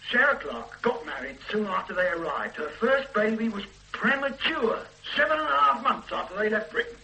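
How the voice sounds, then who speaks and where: An actor playing an eager, cheeky detective sergeant in a radio drama. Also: male voice, sits high, normal speed, vocal fry, heavily acted, poor audio. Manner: cheeky, lively, persuasive. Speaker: police sergeant. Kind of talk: 1970s radio drama acting.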